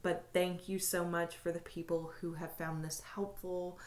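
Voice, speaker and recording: A woman co-hosting a casual podcast, talking bluntly with easy swearing and closing with warm sign-offs to listeners. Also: on the low side, little pitch movement, moderate pace. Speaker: podcast co-host.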